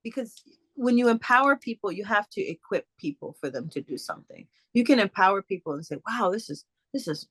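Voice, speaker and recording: A woman speaker answering audience questions in a casual, halting way, with many fillers and restarts over a video call. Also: mid-range voice, a bit bright, no room sound. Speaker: guest speaker.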